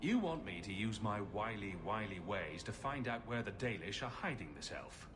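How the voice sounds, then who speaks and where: An actor playing a cheeky, sceptical champion in a fantasy game, dry and teasing. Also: male voice, on the low side, sing-song, normal speed, background noise. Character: sarcastic male adventurer champion, cheeky and sceptical.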